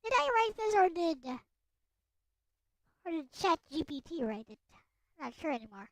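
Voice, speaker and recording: A woman livestreamer chatting casually as she works, halting and thinking aloud, often muttering and repeating short phrases. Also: extremely high pitch, very animated, no room sound. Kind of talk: casual livestream chat while working. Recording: music playing behind the voice. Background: background music.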